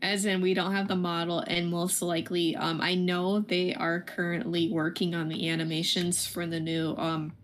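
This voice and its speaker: A woman's voice: lowish voice, flat delivery, normal speed, hardly any pauses. A woman game streamer in a casual, conversational chat, commenting on a video game.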